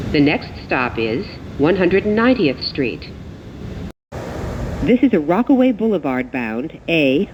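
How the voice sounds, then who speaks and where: A woman reading scripted automated subway announcements as the train announcer, in measured, clearly segmented phrases. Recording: muffled, noisy recording inside subway train. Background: train rumble and ambience.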